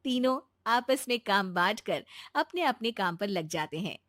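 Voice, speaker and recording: A woman's voice: medium pitch, animated, unhurried, a bit bright, dry recording, studio quality. A woman narrating a scripted moral bedtime story as a storyteller.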